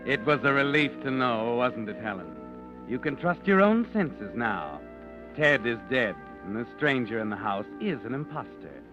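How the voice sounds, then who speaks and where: An actor voicing a mysterious all-knowing narrator who insinuates directly to the listener, in 1940s radio style. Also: male voice, medium pitch, very animated, normal speed, close to an everyday voice. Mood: insinuating. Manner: insinuating, addresses listener as "you". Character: the Whistler, mysterious omniscient male narrator. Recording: dull lo-fi old radio broadcast with music.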